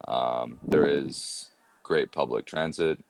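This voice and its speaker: Very deep, gravelly Midwestern man. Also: steady tone, slow, a little mellow, dry recording. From Canada. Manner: hesitant, thinking aloud with many fillers. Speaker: guest. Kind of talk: casual conversation.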